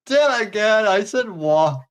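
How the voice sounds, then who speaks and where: A man's voice: sits high, animated, unhurried, clear, resonant tone. Rambling man, casual podcast host. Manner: rambling, hesitant, many restarts and fillers. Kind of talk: casual chat.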